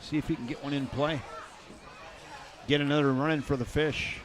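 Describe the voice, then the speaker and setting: An older man doing play-by-play for a baseball game, chatty and folksy, with light jokes between pitches. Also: fairly even intonation, normal speed. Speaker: play-by-play announcer. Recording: crowd noise in the background.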